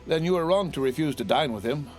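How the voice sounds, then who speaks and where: Man playing a sly, effusive young tailor, pitched high. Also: animated, fast, a little mellow, faint music behind, close to an everyday voice. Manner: effusive, repetitive, over-familiar. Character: grinning, wheedling tailor neighbor, slyly envious man in his twenties.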